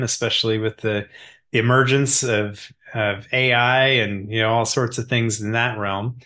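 An upbeat male podcast host with a voice at ordinary pitch. He reads a polished introduction, then moves into easy, friendly questions. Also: a bit bright. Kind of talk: podcast interview hosting.

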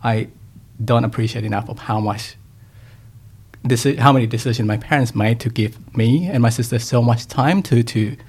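A young man from Thailand, a podcast guest talking reflectively about his upbringing in a relaxed conversation. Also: medium pitch, normal speed, husky. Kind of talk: casual interview conversation.

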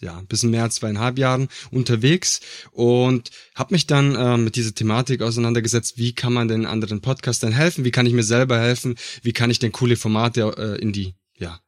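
A man from Germany speaks as a podcast guest at a middle pitch. His manner is enthusiastic and informal, with effusive wording in a casual interview style.

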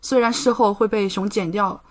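Chatty young woman, medium-pitched voice. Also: moderate pace. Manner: enthusiastic, expressive, chatty. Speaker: podcast guest. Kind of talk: casual chat.

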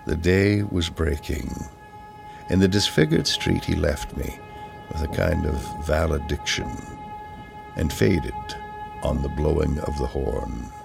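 A man giving a solemn poetry reading in a measured, deliberate manner, pausing between phrases. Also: extremely low pitch, lively intonation, vocal fry, music in the background. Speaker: poetry reader.

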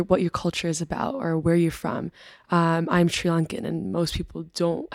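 A woman's voice: on the low side, darkish tone, dry recording, clean studio sound. A woman in her twenties, a student guest, speaking thoughtfully and hesitantly with fillers. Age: 20s. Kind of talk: casual conversation.

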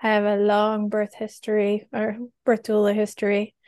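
A woman hosting a podcast interview, asking questions in a reflective, measured way. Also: mid-range voice, completely flat delivery, darkish tone, clean tone. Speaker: host.

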